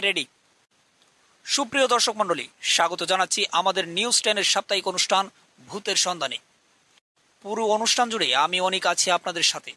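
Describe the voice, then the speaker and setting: Eager young ghost show reporter in a cartoon, talks fast, bright voice. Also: male voice, high voice. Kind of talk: scripted cartoon dialogue and show presenting.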